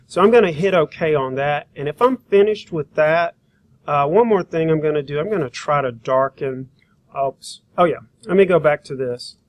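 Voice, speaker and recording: A man teaching a software demonstration, talking informally and thinking aloud as he works through each step. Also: fairly high pitch, moderate pace, no echo. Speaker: graphic design instructor.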